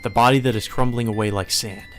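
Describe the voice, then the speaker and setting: A man reading a visual novel aloud as dramatic, solemn and measured narration. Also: on the low side, steady tone, a bit bright. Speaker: narrator, let's play reader. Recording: music behind the voice.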